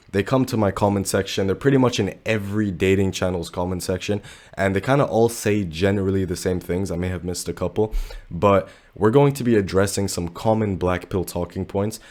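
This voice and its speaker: Young male commentator, sick and low-pitched, blunt and slangy, talking fast to camera. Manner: blunt, animated, slangy, says bro a lot. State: sick, voice deeper than usual. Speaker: dating commentary youtuber.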